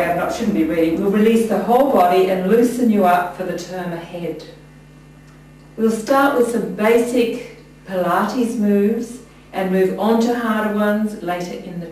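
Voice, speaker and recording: A woman's voice: medium pitch, measured pace, a little mellow. A woman practising as a yoga teacher, giving class instructions and chatting informally with the group. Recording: very echoey room, distant mic, faint noise.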